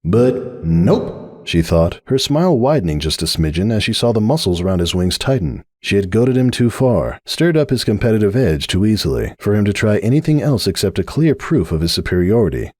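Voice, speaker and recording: A man's voice: fairly low pitch, very animated, fairly quick, darkish tone, no room sound, studio quality. A man giving a dramatic scripted reading of a story as its narrator.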